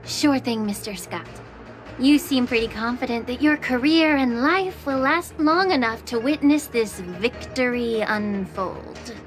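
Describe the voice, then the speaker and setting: An actress playing a calm, long-lived alchemist official who speaks with dry, teasing sarcasm in a video game. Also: female voice, a little high, a little slow, soft background music. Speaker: head alchemist.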